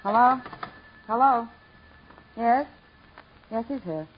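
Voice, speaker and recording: An actress playing a world-weary, sardonic married theater woman in a 1950s radio crime drama, tart and evasive, with a husky voice. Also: on the high side, animated, takes it slowly, slight background noise. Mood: tart, guarded. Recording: muffled old radio recording.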